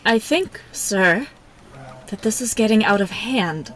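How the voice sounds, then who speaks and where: A woman's voice: mid-range voice, animated, slow pace, fairly bright tone, poor audio. A woman playing a timid newsroom assistant who hesitates and stammers in a radio drama. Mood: flustered, anxious. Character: flustered, timid young female newsroom assistant.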